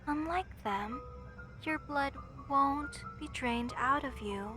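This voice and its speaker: A woman playing an obsessed, lovesick mortician in a horror audio roleplay, speaking tenderly and deliberately with sweet menace. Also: sits high, over music. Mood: loving menace. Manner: slow, tender, deliberate, theatrical. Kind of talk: horror roleplay monologue addressed to listener.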